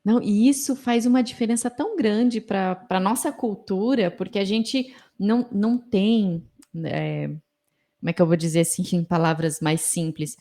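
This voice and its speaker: A woman's voice: mid-range voice, steady tone, normal speed, warm tone. A woman from Brazil with a Brazilian Portuguese accent, hosting a podcast in a chatty way, with many fillers and affirming murmurs. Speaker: podcast host. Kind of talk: conversational podcast interviewing.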